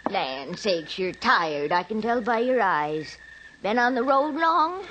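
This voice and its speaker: A woman's voice: mid-range voice, big pitch swings, slow, fairly bright tone. An actress playing a folksy, chatty, stern older farm landlady with homespun exclamations, in 1940s radio style. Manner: homespun, exclaiming rustic turns of phrase. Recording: faintly noisy old radio recording.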